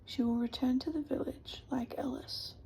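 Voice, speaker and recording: A woman whispering the lines of a calm, reassuring young man in a storybook reading. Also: high voice, normal speed, gravelly, breathy edge, heavily acted, poor audio.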